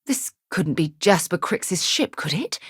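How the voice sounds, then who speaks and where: A woman voicing a principled, curious space explorer in a video game, husky and articulate, with a composed, earnest delivery. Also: fairly low pitch, sing-song, unhurried, fairly bright tone, no room sound, clean studio sound, heavily acted. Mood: curious, observant. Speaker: game voice actor. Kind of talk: video game companion dialogue.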